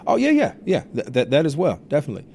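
A man co-hosting a casual political podcast, speaking haltingly and repeating words as he gathers his thoughts. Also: on the high side, wildly swooping pitch, a little mellow, slight background noise. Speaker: podcast co-host.

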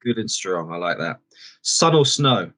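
A man hosting a podcast interview, upbeat and chatty, firing off quick questions. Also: low-pitched, big pitch swings, bright, forceful tone. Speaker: podcast host.

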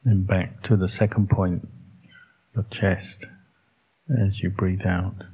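A man teaching as a Buddhist monk, leading a guided meditation in a calm, measured way with long pauses between short phrases. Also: deep voice, husky, rough recording.

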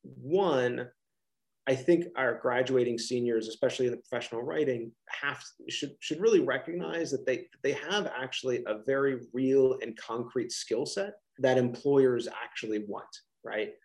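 A man's voice: medium pitch, normal speed, a little mellow. A middle-aged man with a Philadelphia accent, a college professor answering interview questions casually on a video call, with many restarts and fillers.

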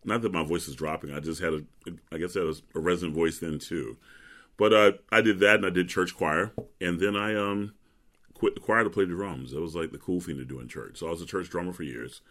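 A man with a very low, resonant bass voice that carries. He is middle-aged and talks in a relaxed, chatty way, telling long stories and laughing easily as an interview guest. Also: a little fast. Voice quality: deep resonant bass speaking voice.

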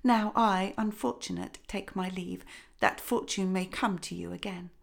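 A woman reading a fairy tale voices a young runaway princess who speaks softly and timidly. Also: mid-range voice, steady tone, a little slow, vocal fry. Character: young runaway princess, meek servant girl.

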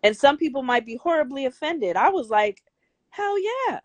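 An older woman with a high, girlish voice, speaking bluntly and emphatically as an interview guest, swearing freely. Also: big pitch swings, normal speed, no echo. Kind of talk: candid interview talk.

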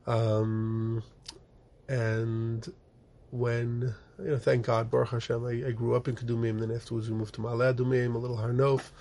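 Gravelly-voiced middle-aged rabbi telling his story. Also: medium pitch, flat delivery, moderate pace, warm tone. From born in the United States, raised in Israel. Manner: rambling, frequent fillers and restarts. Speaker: guest, rabbi and organization director. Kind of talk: conversational interview storytelling. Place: office.

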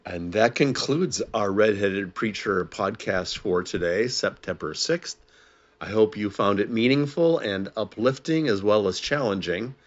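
A man's voice: on the low side, expressive, measured pace, lo-fi sound. A man speaking to listeners as the pastor hosting his own podcast, in a sign-off style.

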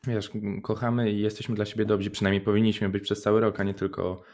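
A man's voice: lowish voice, level tone, quick pace, darkish tone. A young man co-hosting a radio show, chatting in an informal, conversational way. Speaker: radio co-host. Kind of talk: casual radio chat.

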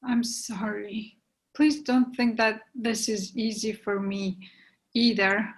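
A reluctant young woman in a stage drama, acted by a woman, with a fairly high voice. She sounds guarded and torn, hesitant and regretful, as in a script-in-hand play reading. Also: fairly even intonation, slow pace. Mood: sad, firm. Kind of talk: readers theatre dialogue reading.

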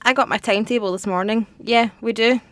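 A young woman playing a dry, wary teenage girl who is new at school, deadpan, in a comic audio drama. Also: a little high, fairly quick, dry recording, close to an everyday voice. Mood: matter-of-fact. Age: young adult. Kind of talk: light comedic audio drama dialogue.

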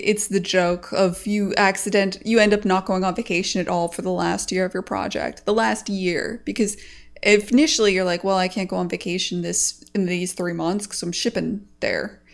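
A woman hosting a casual podcast chat, frank and animated, swearing casually as she talks about game development. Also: medium pitch.

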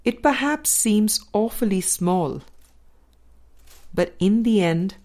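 A woman narrating a spiritual dialogue book as a scripted audiobook reading, with measured, deliberate phrasing and pauses. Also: mid-range voice, animated, darkish tone. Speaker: audiobook reader.

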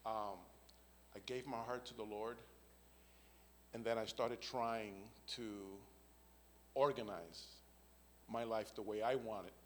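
A man's voice: lowish voice, fairly even intonation, slow pace, long pauses, in a large hall, a little hiss or hum. A man, giving an earnest spoken faith testimony and often checking in with "right?" and "okay?". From the Bronx, New York. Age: 50s.

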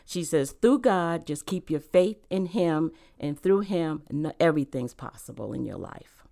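A woman's voice: low voice, animated, measured pace, gravelly, warm tone. A woman who grew up in Rhode Island, speaking earnestly about her faith as a guest and author in a casual interview. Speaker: guest, church member and author.

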